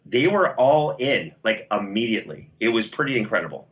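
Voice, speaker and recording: A man talking as an interview guest in an emphatic, pitching, storytelling way, over a slightly dull connection. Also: very animated, fairly quick, fairly bright tone. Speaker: podcast host and producer, interview guest. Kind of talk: conversational interview.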